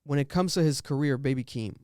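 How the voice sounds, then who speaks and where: Man, podcast co-host, smooth resonant voice, dry close sound, casual animated chat. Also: mid-range voice, dry recording. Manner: animated, laughing, quick asides.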